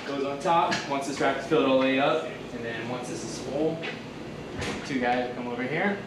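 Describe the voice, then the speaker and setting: A man's voice: mid-range voice, steady tone, brisk, distant mic, heavy background noise. Matter-of-fact man in echoey fish room. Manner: matter-of-fact, step-by-step explaining. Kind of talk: casual walkthrough.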